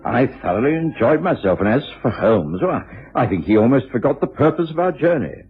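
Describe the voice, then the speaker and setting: An actor playing a genial, well-mannered gentleman companion, narrating a radio drama in measured, courteous storytelling. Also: mid-range voice, sing-song, husky, exaggerated voice. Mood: pleasant, contented. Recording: dull old radio broadcast, faint music.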